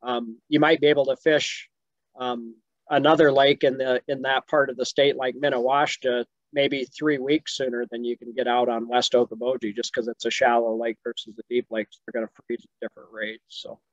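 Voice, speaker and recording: A man's voice: medium pitch, little pitch movement, moderate pace, no room sound. A man in his fifties with a Midwestern American accent, explaining fishing in a webinar Q&A, easygoing with many ums, from a home office on a video call. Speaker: fisheries expert answering questions. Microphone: video call webcam. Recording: muffled video call audio.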